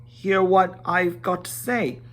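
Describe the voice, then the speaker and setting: A man's voice: sits high, steady tone, slow pace, fairly bright tone, voice pushed up. A man voicing a timid, polite little hobbit in a storybook reading, fussy and hesitant, rambling courteously. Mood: flustered, polite.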